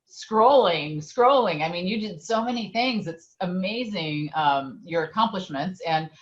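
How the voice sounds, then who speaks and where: A woman hosts an interview in a chatty, casual way, filling her questions with "you know" and "I mean." Her voice sits a little low for a woman. The sound is dull and lo-fi. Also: lively intonation, measured pace. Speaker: interview host.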